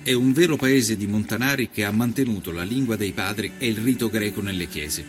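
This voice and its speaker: A man's voice: fairly low pitch, brisk, natural voice. An actor playing an observant nineteenth-century journalist, reading his travel diary as scripted narration in speech. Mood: admiring, descriptive. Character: male journalist of the 1890s, observant, admiring traveller. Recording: music behind the voice. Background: music.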